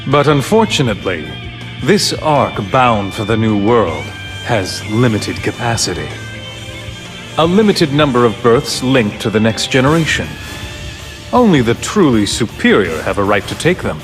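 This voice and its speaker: A man playing a calculating middle-aged director, a coldly grandiose game villain who speaks in a theatrical, condescending, measured manner. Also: medium pitch, big pitch swings, over music. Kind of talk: dramatic game cutscene acting.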